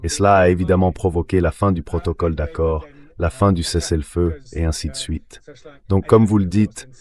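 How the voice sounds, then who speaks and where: A man giving measured, explanatory political commentary as a guest in a dubbed interview. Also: deep voice, steady tone, darkish tone.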